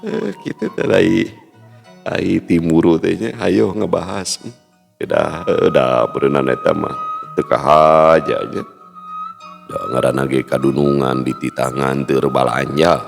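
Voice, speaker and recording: A male storyteller from West Java narrating a folk tale with lively, chuckling asides. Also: a bass voice, measured pace, vocal fry. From West Java, Indonesia. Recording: hall reverb with faint music.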